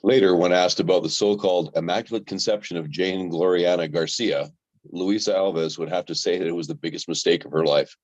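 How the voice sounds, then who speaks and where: A man's voice: deep voice, fairly even intonation, brisk, no room sound. A man playing a wry, dramatic telenovela-style narrator, on a dull, dry recording.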